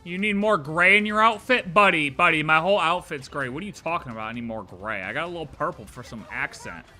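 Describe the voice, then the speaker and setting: A streamer reacting live to a game with excitable, sarcastic, joking commentary. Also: medium pitch, fast, faint music behind.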